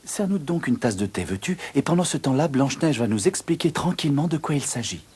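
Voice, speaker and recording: A man voicing a calm, shrewd cartoon character who reasons things out deliberately, in a dubbed cartoon. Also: mid-range voice, noisy background, close to an everyday voice. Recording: music playing behind.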